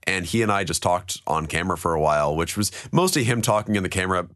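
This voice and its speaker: Deep-voiced man, slightly hoarse, wry. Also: lively intonation, fast, a bit bright, dry recording, studio quality. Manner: laid-back, wry storytelling. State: hoarse from singing. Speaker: podcast co-host. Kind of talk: casual chat.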